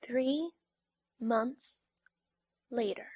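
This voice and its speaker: A woman's voice: on the high side, very slow, darkish tone, breathy edge, no echo, clean studio sound, full character voice. A woman playing a solemn narrator, telling a scripted fantasy story for a game fan dub.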